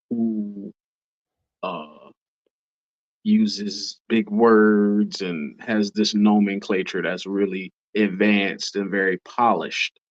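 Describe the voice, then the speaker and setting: Low-voiced laid-back man, dull lo-fi sound. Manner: laid-back, relaxed swagger. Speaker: podcast co-host. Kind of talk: casual podcast chat.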